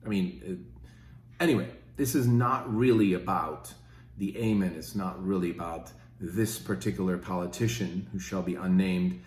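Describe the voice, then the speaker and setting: A man's voice: sits low, moderate pace, darkish tone, echoey room. A fifty-year-old man from Switzerland, talking casually and rambling with many fillers in his own opinion video. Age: 50s. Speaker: podcast host.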